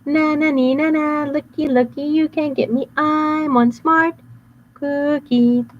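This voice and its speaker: A cheeky little gingerbread cookie boy, as a woman storyteller puts him on, chanting his taunts in a high, bouncy sing-song. His lines are drawn out slowly, and the recording sounds a little dull, with a faint hum behind it. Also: fairly even intonation. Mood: taunting.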